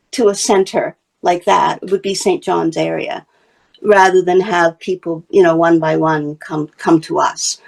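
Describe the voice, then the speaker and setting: A woman's voice: mid-range voice, gravelly. A woman from Wales, a basketry artist and teacher, chatting in an interview while she shows and explains her work. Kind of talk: casual interview talk.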